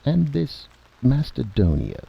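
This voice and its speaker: Man acting skeptical, curt statesman, gravelly. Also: medium pitch, wildly swooping pitch, slow pace, pitched above the performer's own voice, full character voice. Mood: polite doubt. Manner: official, dismissive. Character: curt, skeptical senior male Secretary of State. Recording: dull, noisy vintage radio recording.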